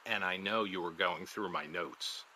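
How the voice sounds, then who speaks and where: An actor playing an ominous, secretive neighbor in an audio drama, talking in short deliberate phrases. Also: male voice, sits low, sharp tone, a little hiss or hum, heavily acted, rough recording. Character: ominous, guilt-ridden male neighbor with secrets.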